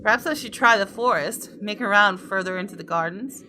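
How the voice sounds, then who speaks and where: A woman's voice: medium pitch, brisk, noisy background. A woman game streamer reading visual novel text aloud, dramatically and with playful outbursts. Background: game music with guitar.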